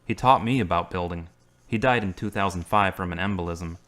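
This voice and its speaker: Guy acting earnest churchy middle-aged man, measured, lowish voice. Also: a bit bright. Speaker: radio drama actor. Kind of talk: amateur radio drama.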